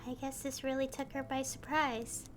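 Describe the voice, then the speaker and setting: A woman's voice: sits high, level tone, moderate pace, a little hiss or hum, natural voice. An actress playing a curious, thoughtful young female traveler who asks questions and muses to herself. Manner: thoughtful, inquisitive.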